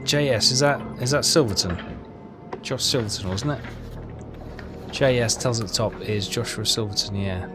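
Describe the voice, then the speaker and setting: A man's voice: medium pitch, normal speed, over music. A man hosting a casual game walkthrough, hesitant and rambling as he thinks aloud. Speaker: gaming video host.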